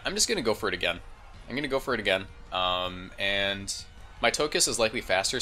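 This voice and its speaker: A man's voice: fairly low pitch, bright, forceful tone. A slightly congested man giving casual, informal gameplay commentary, thinking aloud as he goes. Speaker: gaming video host.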